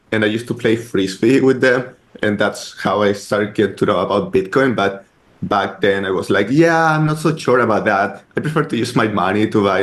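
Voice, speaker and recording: A man's voice: lowish voice, a bit bright. A man with a Chilean accent, a guest on a podcast interview, telling his story in a rambling way full of "like" and "uh". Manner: rambling, heavy use of "like" and "uh". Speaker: guest, startup co-founder.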